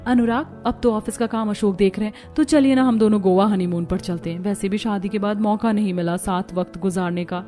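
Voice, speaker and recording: A woman's voice: medium pitch, brisk, hardly any pauses, dark tone, faint music behind, natural voice. A woman voicing a jealous young newlywed wife in a cartoon family drama, sweetly deceitful and coaxing, whiny when refused. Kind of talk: animated family drama dialogue.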